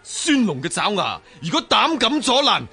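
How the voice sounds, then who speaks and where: A fiery weaver turned rebel leader in a period radio play. His delivery is high, husky and swings widely in pitch. He declaims like someone commanding a crowd. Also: huge pitch swings, normal speed, sharp tone, soft background music, full character voice. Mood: rousing, commanding. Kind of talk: Cantonese historical radio drama acting.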